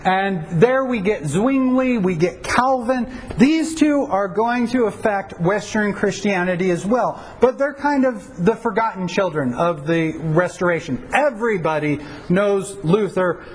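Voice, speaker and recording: A pastor teaching church history to a class, in a conversational lecture full of rhetorical questions and fillers. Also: male voice, sits high, lively intonation, measured pace, hardly any pauses, a little hiss or hum, poor audio. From United States.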